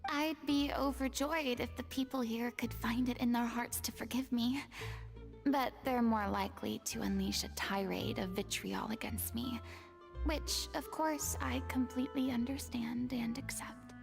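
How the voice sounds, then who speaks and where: Remorseful young woman, high creaky voice. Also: measured pace. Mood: guilty, anxious. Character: remorseful young woman, former false god, fragile and earnest. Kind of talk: dramatic video game dialogue. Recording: music playing behind the voice. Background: game music.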